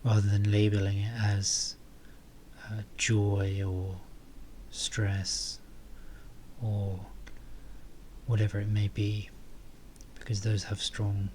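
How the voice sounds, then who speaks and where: A man softly leading a guided meditation, calm and unhurried, with long pauses between phrases. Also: fairly low pitch, a little hiss or hum.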